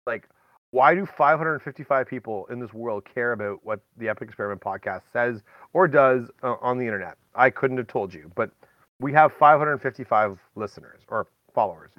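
A man's voice: mid-range voice, fairly quick, no room sound. Man hosting a podcast, dull dry sound. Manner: chatty, folksy exclamations, addresses listeners directly. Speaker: podcast host. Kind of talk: casual, rambling podcast chat.

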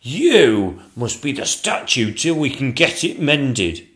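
A man's voice: mid-range voice, very animated, pitched above the performer's own voice. Man playing a bossy royal chief painter, emphatic. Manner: shouting brisk orders.

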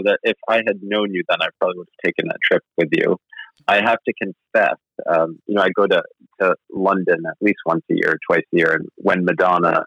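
A man with a very low voice talks about his writing as a guest in a casual interview. He rambles and hesitates and is self-deprecating. The sound is dry and a little muffled. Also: vocal fry, fairly bright tone, no room sound. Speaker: novelist guest.

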